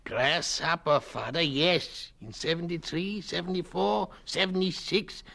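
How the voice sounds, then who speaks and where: An actor playing a gloomy old immigrant hotel keeper with a German accent and halting broken English, in a radio drama. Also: male voice, fairly high pitch, gravelly, bright, forceful tone, exaggerated voice.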